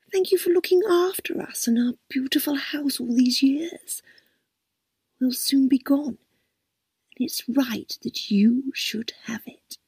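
A woman's voice: medium pitch, dry recording, exaggerated voice. A woman whispering as a frail, confused old lady. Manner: hushed breathy whisper. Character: frail, confused elderly woman, bedridden and fretful.

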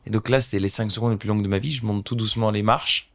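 French man telling a story, low voice. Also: rapid-fire. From France. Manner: animated anecdotal storyteller, addresses host directly. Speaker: guest, social entrepreneur. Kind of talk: casual storytelling interview.

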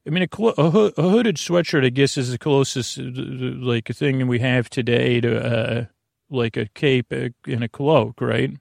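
A man hosting a bedtime podcast in a rambling, halting monologue, circling back and losing his thread, with a creaky, gravelly voice. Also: medium pitch, steady tone, no echo, studio quality. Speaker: podcast host. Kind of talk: rambling bedtime monologue to help listeners sleep.

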